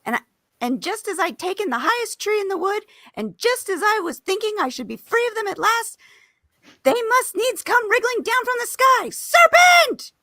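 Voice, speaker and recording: A woman's voice: very high-pitched, big pitch swings, moderate pace, pushed and bright, dry recording, clean studio sound, full character voice. A woman voicing a frantic, indignant mother pigeon in a storybook live read, scolding shrilly and with exasperation. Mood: shrill alarm. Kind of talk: live reading of an abridged classic story.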